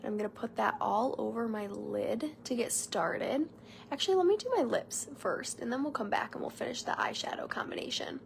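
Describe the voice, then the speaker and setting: A woman's voice: on the high side, lively intonation, a little fast, vocal fry, a little hiss or hum. A young woman with a nasal, stuffy voice from a cold, chatting casually through a live makeup tutorial at home. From Michigan, United States. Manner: chatty, rambling, friendly asides to viewers. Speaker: beauty consultant doing a live makeup tutorial. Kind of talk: casual live-stream chat. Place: home room.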